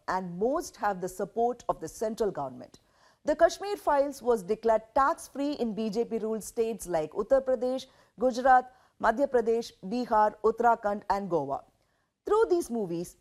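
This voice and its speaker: A woman with an Indian accent presents a scripted news explainer. Her voice sits fairly high and swings widely in pitch. She delivers each point in a measured way, leaning on key words for emphasis. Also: a little mellow.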